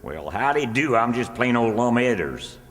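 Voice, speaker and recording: An actor playing an earnest, gullible small-town rustic schemer, folksy and homespun, in old-time radio comedy. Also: male voice, medium pitch, very animated, moderate pace, close to an everyday voice. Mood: curious. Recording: echoey hall with long reverb.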